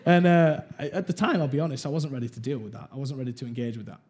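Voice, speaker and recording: A man's voice: mid-range voice, fairly even intonation, racing, a little mellow. Man from Wales, candid church testimony speaker, acts out the voices in his story. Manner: candid storyteller, acts out quotes, laughs at himself. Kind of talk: personal testimony talk.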